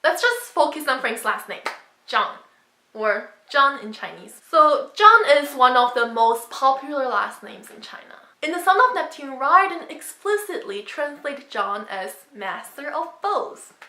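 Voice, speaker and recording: A woman with a high, very lively voice speaks straight to camera like an opinionated vlogger. She makes her points emphatically, and the room around her sounds echoey. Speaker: book vlogger. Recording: roomy echo.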